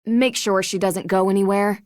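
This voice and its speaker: A woman's voice: medium pitch, steady tone, moderate pace, darkish tone, dry recording, clean studio sound, close to an everyday voice. An actress playing a determined, level-headed young female detective in a video game, earnest and purposeful. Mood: resolute.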